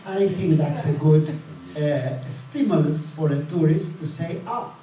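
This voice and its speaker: A man's voice: fairly high pitch, a little slow, crowd noise. A man from Chile speaking as a panelist, explaining his points with frequent fillers. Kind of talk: panel discussion answers. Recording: echoey hall, distant mic, faint noise.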